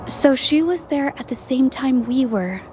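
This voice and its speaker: An actress voicing an earnest young female painter, gentle and reflective as she investigates. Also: high-pitched, animated, a little slow, a little hiss or hum. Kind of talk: video game voice acting.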